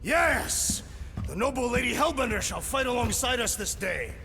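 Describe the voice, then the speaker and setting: A grandiose alien warrior, declaims in formal speech. His voice is gravelly and forceful, earnest and bombastic, ringing with long reverb in a video game scene. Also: very high-pitched, lively intonation, moderate pace, slight background noise, heavily acted. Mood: triumphant. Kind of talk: video game cutscene acting.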